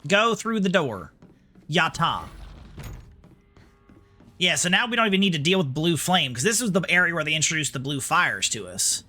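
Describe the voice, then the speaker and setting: A man's voice: on the high side, big pitch swings, moderate pace, pauses often, pushed and bright, faint music behind. A man from New York with an American accent giving casual, sarcastic, sweary gameplay commentary. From New York, United States. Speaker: game streamer.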